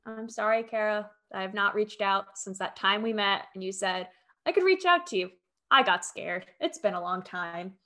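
A woman's voice: medium pitch, fairly bright tone. A woman co-hosting a podcast in casual, enthusiastic chat. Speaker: podcast host.

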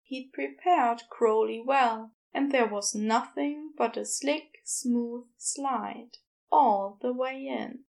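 Female audiobook narrator, higher-pitched with vocal fry, very unhurried story reading. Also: darkish tone. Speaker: fan fiction audiobook reader.

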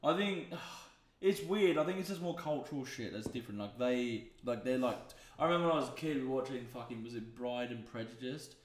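A young man hosts a casual podcast, rambling through stories and swearing freely. His voice sits at an ordinary pitch. The room has a noticeable echo. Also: animated, fairly quick, slight background noise. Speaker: podcast host. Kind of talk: casual chat. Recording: echoey room.

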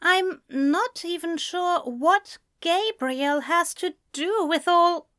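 Woman voicing a prim, high-pitched anxious young man. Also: slow pace, a bit bright, heavily acted. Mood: careful, nervous. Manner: careful, formal, hesitant phrasing.

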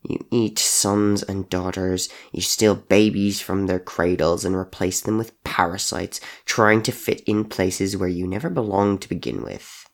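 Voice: low-pitched, steady tone, unhurried, hardly any pauses. A performer voicing a wounded, defiant and protective shapeshifter father in a story, snapping with scorn. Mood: cold, bitter.

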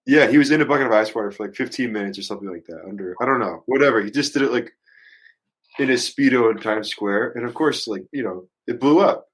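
A man chatting casually as a musician guest on a podcast, thinking out loud in a rambling, hesitant, reflective way and swearing casually. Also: medium pitch, fast talker, slightly rough.

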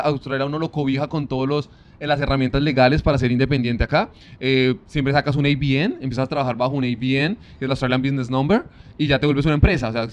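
A man from Colombia with a Bogotá accent, a guest on a casual podcast interview, talking in a relaxed, easygoing way. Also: a little high, slight background noise. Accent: Bogotá Colombian Spanish. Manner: relaxed, easygoing, leans on "pues" as a filler.

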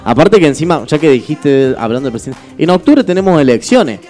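A man in his twenties with an Argentine accent, hosting a casual podcast chat with quick jokes. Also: on the high side, soft background music. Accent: Argentine Spanish. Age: 20s. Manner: fast, joking, animated storytelling. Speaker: radio podcast host.

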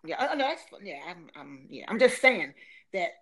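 A woman's voice: on the low side, sing-song, moderate pace, pushed and bright. A middle-aged woman from Philadelphia with a Philadelphia accent, chatting casually, laughing often and restarting her sentences. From Philadelphia, USA. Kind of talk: casual chat.

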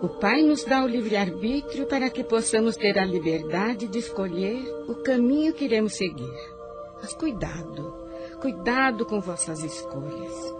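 An actress playing a solemn, preachy spiritual guide in a radio drama, measured. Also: female voice, medium pitch, lightly acted. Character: solemn spiritual guide, admonishing moral conscience. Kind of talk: Brazilian radio drama acting.

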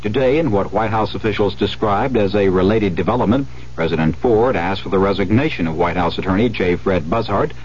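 A man's voice: fairly low pitch, a little mellow. An American man reading a scripted radio news bulletin as a reporter. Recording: muffled, hissy 1970s radio recording.

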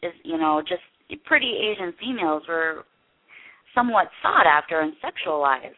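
A woman guest in an interview, speaking earnestly and haltingly with many restarts. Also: fairly low pitch, big pitch swings. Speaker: interview guest, production company head. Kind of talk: candid personal interview. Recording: tinny phone line.

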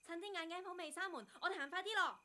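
Woman playing a high-pitched, chirpy young intern. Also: quick pace. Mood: eager, teasing. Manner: excitable, teasing, exclamatory. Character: curious, chirpy young female radio intern. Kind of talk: Cantonese radio drama acting.

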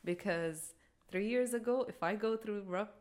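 A woman's voice: medium pitch, normal speed, darkish tone. A woman in her mid-twenties co-hosting a podcast, speaking earnestly and heartfelt, repeating words as she thinks. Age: 20s. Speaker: podcast co-host. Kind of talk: casual chat among friends.

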